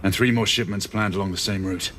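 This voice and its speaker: Grave, intense Victorian knight, acted by a man, low British voice. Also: fairly even intonation, quick pace, fairly bright tone, a little hiss or hum, close to an everyday voice. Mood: intent. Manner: formal, earnest, intense. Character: grave, determined Victorian knight, urgent and loyal. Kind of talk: video game cutscene acting, period drama.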